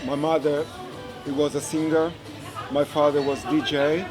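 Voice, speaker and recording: Man, halting speech. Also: a little high, little pitch movement. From Angola. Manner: halting, searching for words. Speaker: kizomba teacher. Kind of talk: interview. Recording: music and crowd behind.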